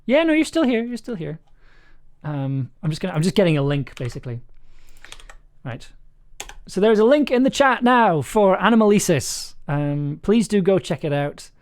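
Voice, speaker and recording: A stream host with a mid-pitched voice talks casually to viewers. The delivery rambles and halts, often doubling back over the same words before moving on. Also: big pitch swings, normal speed, a little mellow. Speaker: stream host and audio drama writer. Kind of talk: casual live stream chat.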